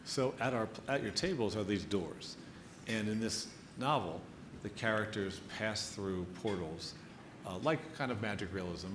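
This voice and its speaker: Guy hosting a book talk onstage, lowish voice, careful phrasing, roomy echo. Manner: deliberate, builds up questions in short phrases. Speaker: interviewer, moderator. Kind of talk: on-stage literary interview. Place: live stage event in an echoey venue. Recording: echoey room, clearly noisy.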